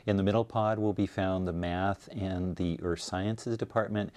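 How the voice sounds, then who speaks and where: A man's voice: low voice, fairly even intonation, moderate pace, darkish tone. A man giving an informative presentation as a college science department representative.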